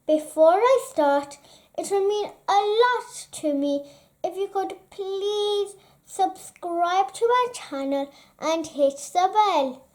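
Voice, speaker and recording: A woman reading a children's bedtime story aloud in an expressive storytelling style. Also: very high voice, takes it slowly.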